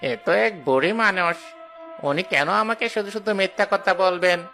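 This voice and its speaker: A man's voice: high-pitched, a little fast, pushed and bright, soft background music. A man voicing a gullible, greedy young villager in a cartoon. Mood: naive. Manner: naive, later panicked. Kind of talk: cartoon voice acting.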